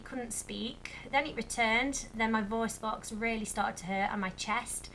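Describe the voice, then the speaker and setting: A young woman, a little croaky, chatting casually to camera in a rambling vlog update. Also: medium pitch, a bit bright, slight background noise, poor audio. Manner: chatty, rambling with many fillers. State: slightly croaky. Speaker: vlogger.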